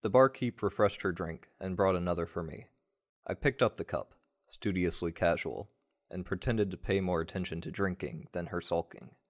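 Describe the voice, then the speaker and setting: A man with a low-pitched voice narrates a dramatic first-person tale. His delivery is measured and wry, in the manner of a radio play. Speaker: narrator of an audio story.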